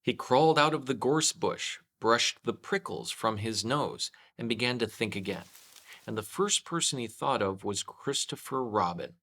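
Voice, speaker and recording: A man reading a children's story aloud in an expressive scripted narration. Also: medium pitch, normal speed. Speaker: storyteller, host.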